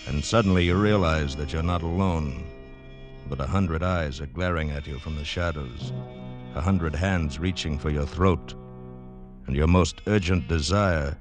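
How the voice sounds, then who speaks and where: A man opening an old radio drama with a grave, suspenseful delivery. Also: rumbling low. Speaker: radio announcer. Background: orchestral music.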